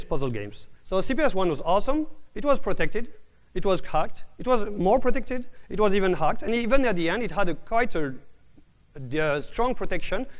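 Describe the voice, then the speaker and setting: A man giving a technical conference talk, often restarting his words, with a voice he calls bored. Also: on the high side. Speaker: conference speaker.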